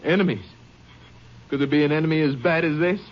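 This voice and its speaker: An actor plays a grieving husband in an old radio drama, his voice slightly high and pleading. The sound is muffled, like a 1950s broadcast. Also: fairly quick, close to an everyday voice. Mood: bitter. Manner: pleading, anguished. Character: grieving husband, farmer, middle-aged man.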